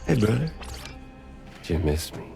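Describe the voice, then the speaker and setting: Taunting, drawling villain with wild swings. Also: male voice, fairly low pitch, big pitch swings, normal speed, creaky, dark tone, faint music behind, exaggerated voice. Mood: mocking, gleeful. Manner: mocking, drawling, theatrical. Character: taunting theatrical Southern male villain, menacing and mocking. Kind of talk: video game cutscene acting.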